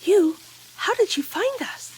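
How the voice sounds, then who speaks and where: A woman's voice: very high voice, animated, unhurried, a little hiss or hum, poor audio. A woman in an audio drama playing a stern, guarded monkey creature who passes judgment. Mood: wary.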